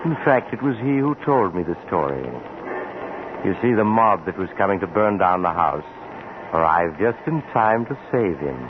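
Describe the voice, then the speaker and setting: A man's voice: low voice, lively intonation, moderate pace. A man acting as a genially sinister traveler who hosts eerie tales in 1940s radio style, conversational and insinuating. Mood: slyly eerie. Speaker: story host. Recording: noisy, tinny 1940s radio recording.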